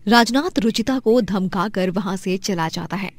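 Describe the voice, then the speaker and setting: A woman's voice: medium pitch, normal speed, no echo. A woman reading a scripted story as its narrator.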